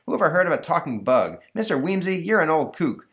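A man's voice: fairly high pitch, sing-song, fast talker, fairly bright tone, voice pushed up. A man voicing a sneering, whiny schoolboy bully in a comic story.

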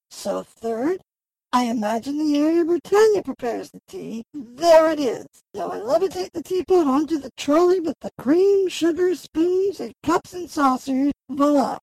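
A woman's voice: sits high, creaky, a little mellow, dry recording, poor audio. A woman playing a fussy, self-important magical queen with imperious, precise delivery in a fantasy audio drama.

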